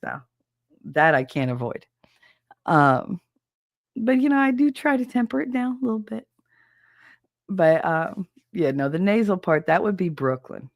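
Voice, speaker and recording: Stuffy, gravelly New host. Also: medium pitch, big pitch swings, measured pace, long pauses, no room sound, clean studio sound. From New Jersey. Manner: rambling, chatty, self-deprecating, greets chat viewers. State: stuffy, nasal from a cold. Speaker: livestream host. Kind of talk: casual live-stream chat.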